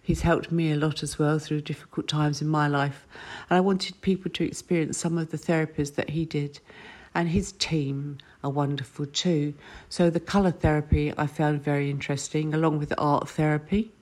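Low-voiced woman gushing about stuff on a podcast, keeps saying lovely. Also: fairly even intonation, moderate pace. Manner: warm, enthusiastic, repeats "lovely". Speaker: guest, retreat owner. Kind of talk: casual podcast chat.

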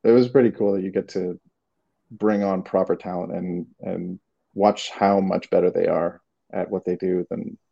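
Gravelly Canadian man, low dark voice. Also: fairly even intonation, moderate pace, highs cut off. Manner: hesitant, many restarts and fillers. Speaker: creative director, guest. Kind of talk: casual interview answers.

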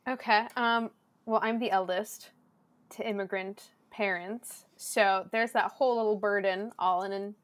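A woman from Southern California, a podcast guest chatting casually and acting out quotes in a loud, lively way. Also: medium pitch, unhurried. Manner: loud, animated storyteller who acts out quotes.

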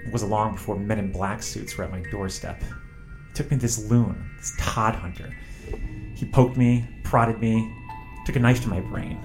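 A man's voice: fairly low pitch, steady tone, moderate pace, over music, close to an everyday voice. A man playing a wary, grieving former test subject with psychic visions in an audio drama, speaking in an earnest, defensive way. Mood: guarded, confiding.

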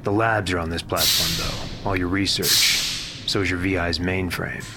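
Casting: male actor as composed sci-fi investigator, very low register, measured questions, noisy industrial backdrop. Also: steady tone, lightly acted. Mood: probing. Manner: polite, measured, inquisitive. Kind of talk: sci-fi audio drama acting. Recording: loud rumbling industrial ambience.

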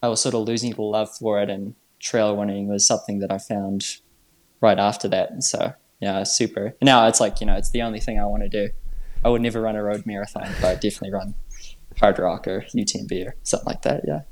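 A man in his twenties from New Zealand, chatting casually as a podcast guest in a laid-back, halting, slangy way. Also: lowish voice, steady tone. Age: 20s. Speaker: podcast guest, trail runner. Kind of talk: casual chat.

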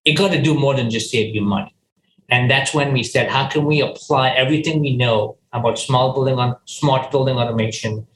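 A man's voice: medium pitch, a bit bright, echoey room, a little hiss or hum, rough recording. A man from Ghana, a company founder, answering interview questions earnestly, often restarting his sentences and saying "you know".

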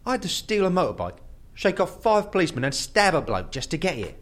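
Menacing, blunt fugitive. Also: male voice, high-pitched, very animated, a little fast, fairly bright tone. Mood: menacing, demanding. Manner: blunt, threatening, insistent. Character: menacing, desperate male fugitive, blunt and violent. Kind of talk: audio drama acting.

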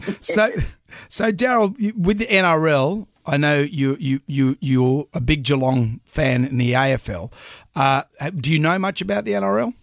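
A man's voice: fairly high pitch, moderate pace, vocal fry, no echo, studio quality. A man with an Australian accent hosting a radio chat, friendly with stumbling restarts. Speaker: radio host.

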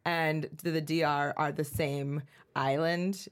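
A woman's voice: sits low, level tone, slow, clean tone. A woman co-hosting a podcast, chatting playfully and laughing easily. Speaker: podcast host. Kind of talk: casual chat.

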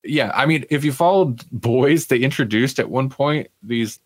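A man's voice: medium pitch, normal speed, no echo, studio quality. A man hosting a podcast, casually chatting through entertainment news and sometimes reading aloud, with wry, self-deprecating humor. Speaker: podcast host.

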